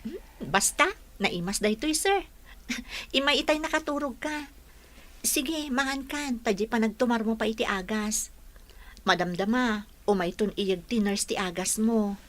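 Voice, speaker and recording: A woman's voice: on the high side, a little slow, long pauses, a bit bright. A woman acting a caring, devoted young caregiver in a Filipino radio drama, with an attentive manner. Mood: coaxing.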